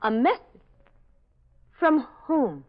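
A woman's voice: high voice, sing-song, slow pace, full character voice. An actress playing an anxious but determined young woman in an earnest, breathless 1940s radio mystery style. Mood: wary. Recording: dull, noisy old radio recording.

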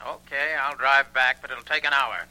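Sharp, tinny man on telephone. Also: medium pitch, fairly even intonation, normal speed. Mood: curt, offhand. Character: casual, curt male nephew phoning in. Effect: telephone filter. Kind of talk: 1940s radio drama acting.

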